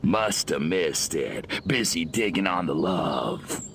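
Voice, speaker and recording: An actor playing a cocky, contemptuous alien invader in a video game, with a sardonic drawl and a growl. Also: male voice, low voice, lively intonation, slow, creaky, fairly bright tone, a little hiss or hum, exaggerated voice. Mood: flippant.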